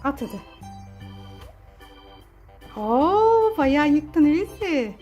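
A woman's voice: high voice, sing-song, drawn-out words, warm tone, faint music behind. A woman talking as a mother to her child in a playful home skit, exclaiming and repeating short urgings.

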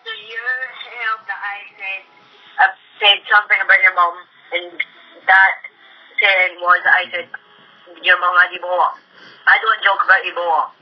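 A man's voice: high voice, fairly even intonation, moderate pace. A young man protesting in an aggrieved, sweary way over a phone call played through a speaker. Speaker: prank call victim on the phone.

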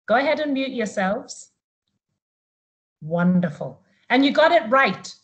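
A middle-aged woman with a slightly high voice speaks to young people on an online call. She is from Uganda and talks as a motivational storyteller, repeating words to drive them home. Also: big pitch swings, a little slow. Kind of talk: webinar talk to young people.

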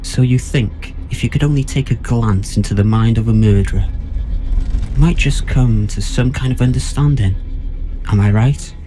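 Dark-voiced, chillingly measured murder suspect played by a man. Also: medium pitch, soft background music, natural voice. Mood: cold, condescending. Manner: measured, articulate, condescending. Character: cold, menacing, condescending male murder suspect, philosophizing killer. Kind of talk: audio drama acting.

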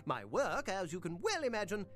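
A man's voice: sits high, huge pitch swings, normal speed, a bit bright, faint music behind, full character voice. An actor voicing a creepy, obsessive male prosthetics maker in a video game, with a genteel, relishing, slyly unsettling way of talking. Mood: eerie cordial. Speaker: game character.

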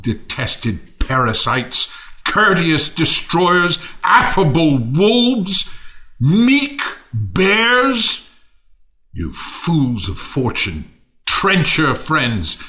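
Male actor as embittered noble host, declamatory Shakespearean style; bright, forceful, deliberately slow. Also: mid-range voice, exaggerated voice. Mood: furious contempt. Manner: declamatory, theatrical. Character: bitter Athenian lord, mock-gracious host turned raging misanthrope. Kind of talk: Shakespearean audio play reading.

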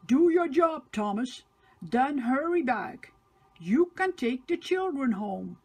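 A woman's voice: sits high, animated, slow, a little mellow, breathy edge, exaggerated voice. A woman voicing a stern but kindly male railway boss in a storybook, giving firm, emphatic instructions. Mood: stern, urging.

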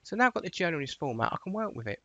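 A man presenting an informal software tutorial, explaining things step by step in a voice-over. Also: fairly high pitch, sing-song, very fast, no echo, muffled recording.

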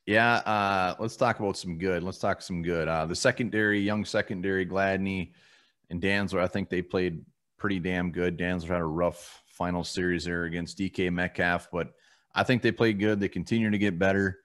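A man's voice: low-pitched, steady tone, normal speed, a bit bright. A man co-hosting a casual postgame sports show from a home room over a video call, blunt and casually swearing as he runs through stats. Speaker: co-host of a sports fan show.

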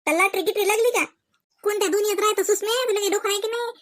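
A woman voicing gossipy, excitable village housewives in a comedy cartoon, exclaiming and teasing in rustic Varhadi Marathi. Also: extremely high pitch, very fast. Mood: astonished, mocking. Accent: Varhadi Marathi from Vidarbha. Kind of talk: colloquial comic cartoon dialogue.

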